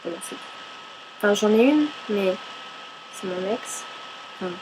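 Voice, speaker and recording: A woman's voice: mid-range voice, lively intonation, unhurried, background noise. A woman from Paris chatting casually to camera as she answers viewer questions, chatty and self-mocking, swearing casually and arguing back and forth with herself. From Paris, France. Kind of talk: casual FAQ chat to camera.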